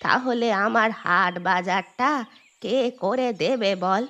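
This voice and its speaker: A woman voicing a gentle old village granny in a cartoon, speaking in a plaintive, coaxing manner. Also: mid-range voice, takes it slowly, muffled recording. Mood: pleading, gentle. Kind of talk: cartoon voice acting.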